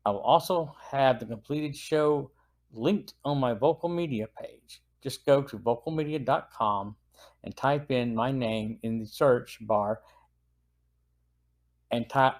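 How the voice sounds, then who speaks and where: A man's voice: mid-range voice, measured pace. A man hosting a podcast, reading a semi-scripted review in a plain, earnest, informative manner. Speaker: podcast host.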